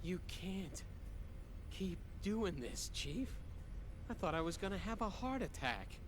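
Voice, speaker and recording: Fretful young assistant Allen. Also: male voice, high voice, big pitch swings, slow, background noise, heavily acted. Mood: relieved, soft. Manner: panicky, fretful. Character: anxious young male lab assistant Allen. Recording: game cutscene over loud music.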